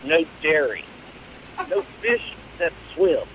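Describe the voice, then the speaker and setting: A man's voice: fairly high pitch, huge pitch swings, slow, very noisy. A man on a casual phone call, telling his story in a dry, exasperated way. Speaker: phone-in guest. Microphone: telephone.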